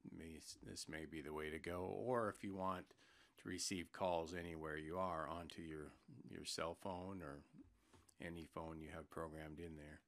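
An American man giving an informal product explainer as a presenter, plain-spoken and hesitant, pausing mid-sentence. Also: very deep voice, steady tone, a bit bright. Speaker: product presenter for an intercom seller. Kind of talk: informal product explainer over screen recording.

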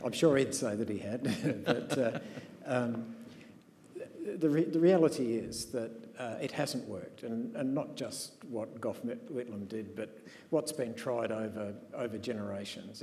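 A man's voice: fairly low pitch, mellow. Hesitant man on a conference panel. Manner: hesitant, many restarts and fillers. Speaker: panelist demographer. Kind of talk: panel discussion. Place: conference stage in a large hall. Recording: long hall reverb, faint room noise.

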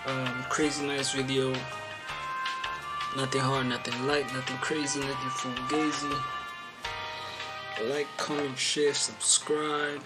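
Male reaction host, twenties, medium pitch, casual slangy commentary with prominent music underneath. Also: little pitch movement, takes it slowly, pauses often. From South Africa. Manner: slangy, offhand. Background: loud music.

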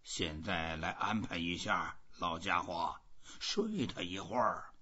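Storybook role: stoic old fisherman read by a man, low and very husky, musing to himself. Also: slow, pushed and bright, full character voice. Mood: calm resolve. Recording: dull, muffled lo-fi audio.

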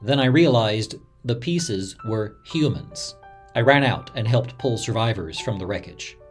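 A man's voice: mid-range voice, lively intonation, measured pace, faint music behind, close to an everyday voice. A man playing an earnest radio reporter, dramatically recalling a disaster he witnessed, in a first-person story. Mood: somber. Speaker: narrator of a radio reporter's memories.

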